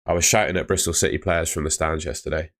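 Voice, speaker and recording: A young man chatting live with viewers on a stream, laid-back and chatty, his voice fading and hoarse. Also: a bass voice, steady tone, quick pace, a bit bright. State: losing his voice.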